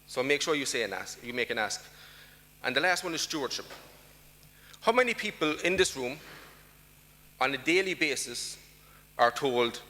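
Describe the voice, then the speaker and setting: An Irish man speaks from the stage of a large conference hall, his bright, forceful voice trailing a long reverb. He drives his points home with emphasis and keeps putting questions to the room. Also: medium pitch, animated, normal speed, long pauses. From Ireland. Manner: emphatic, rhetorical questions to the audience. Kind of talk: conference talk.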